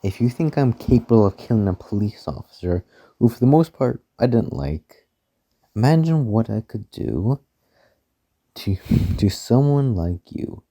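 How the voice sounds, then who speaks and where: A man's voice: fairly low pitch, sing-song, measured pace, dark tone, full character voice. A man playing a smug, arrogant, menacing rich mansion owner with a haughty, sneering delivery in an amateur radio play. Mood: smug, threatening.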